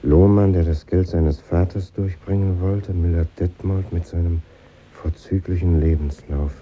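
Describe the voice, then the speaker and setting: An actor playing a brooding, introspective artist in a literary, deliberate manner, in 1950s radio drama style. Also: male voice, sits low, steady tone. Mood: wistful, pensive. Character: brooding male artist looking back on his schooldays. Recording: muffled, hissy old radio recording.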